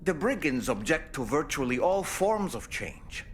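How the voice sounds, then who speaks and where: A man's voice: fairly high pitch, sing-song, a little slow, a little hiss or hum. An actor playing a courteous, reflective ship's crewman in a video game, speaking formally and articulately in a musing way. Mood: pondering. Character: thoughtful, courteous crewman aboard a ship, gently wondering. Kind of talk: video game character monologue.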